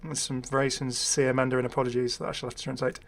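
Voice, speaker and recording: Guy chatting through game stuff, enthusiastic, casual, mid-pitched voice. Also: flat delivery, fast, creaky, a little hiss or hum. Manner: chatty, enthusiastic, readily amused. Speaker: YouTube gaming creator. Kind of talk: casual game update commentary.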